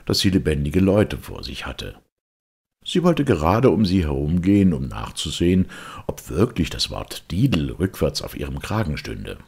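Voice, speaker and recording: A man's voice: deep voice, creaky, husky. A man narrating a storybook audiobook expressively from a script.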